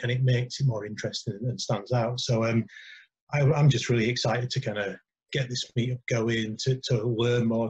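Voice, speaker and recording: British male meetup host, mid-pitched and husky, informal, hesitant delivery with repeated words. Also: a little mellow. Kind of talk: informal introduction, personal talk. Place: online video call. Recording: slightly dull online-call audio.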